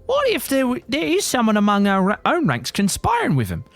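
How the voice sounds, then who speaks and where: Man putting on a high, fretful game townsman. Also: huge pitch swings, brisk, fairly bright tone, dry recording, soft background music, heavily acted. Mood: worried, suspicious. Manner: fretful, exclamatory, formal wording. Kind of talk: reading video game dialogue aloud.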